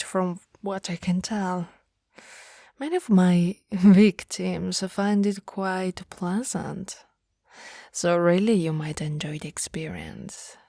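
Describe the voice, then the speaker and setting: Ancient vampire lady, played by a woman, ASMR roleplay: mellow, dry close sound, hesitant formal speech, tender and hungry. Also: mid-range voice, no echo. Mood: hungry, coaxing. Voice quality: soft, breathy. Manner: formal archaic phrasing, tender, hesitant, often whispering. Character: centuries-old female vampire, lovestruck, remorseful, hungry, formal. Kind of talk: ASMR roleplay, slow intimate monologue.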